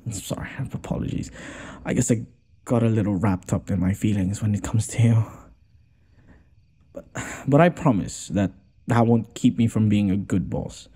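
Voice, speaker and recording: A man playing a gentle, smitten noble lord in an intimate audio roleplay, hesitant and apologetic, stammering and sighing as he talks. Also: on the low side, a little slow, long pauses, breathy edge. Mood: apologetic, weary.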